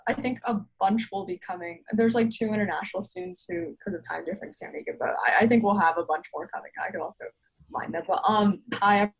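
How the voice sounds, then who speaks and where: A teenage girl, a high school student, talking chattily with quick asides on a video call. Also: lively intonation, vocal fry, slightly rough, rough recording. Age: teens. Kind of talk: casual video call meeting.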